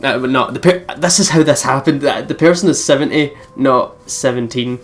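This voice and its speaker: A woman giving casual, halting reaction commentary as a let's play co-host. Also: very deep voice.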